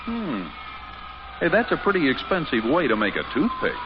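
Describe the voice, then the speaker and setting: A man's voice: fairly high pitch, wildly swooping pitch, normal speed, noisy background. A man announcing and narrating an old radio comedy in 1950s style. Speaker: show announcer. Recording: dull old radio broadcast recording.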